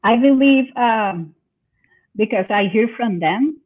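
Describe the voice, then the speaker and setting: A woman from Venezuela with a Venezuelan Spanish accent, a bilingual parent educator who speaks Spanish as her first language and answers in a halting way, often searching for words. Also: fairly high pitch, lively intonation, slight background noise. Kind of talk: conversational interview answers. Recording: tinny phone-like call audio.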